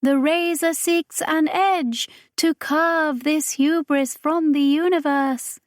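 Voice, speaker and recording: Woman as high-pitched, ceremonious alien majordomo. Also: fairly even intonation, slow, dry recording, studio quality. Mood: reverent. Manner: solemn, archaic, ceremonious. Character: reverent, formal alien hive-being serving as majordomo.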